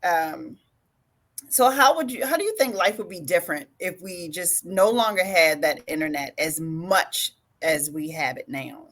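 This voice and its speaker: A woman's voice: lowish voice, expressive, unhurried, fairly bright tone. A woman hosting a relationship podcast interview who sounds upbeat and friendly and laughs easily while asking questions. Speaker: podcast host. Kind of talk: casual interview.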